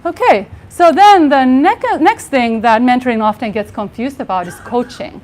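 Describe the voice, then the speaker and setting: A soft-voiced woman in her forties giving a live workshop talk, earnest, with many fillers and restarts. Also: sits high, moderate pace. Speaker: workshop speaker. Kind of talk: conference talk. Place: live workshop room with audience.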